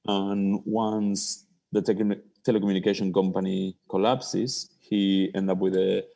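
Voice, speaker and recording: A man from Argentina, a chef, telling stories in a relaxed podcast interview, with slightly non-native phrasing. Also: sits low, unhurried, gravelly. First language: Spanish. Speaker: chef, guest. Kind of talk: casual interview.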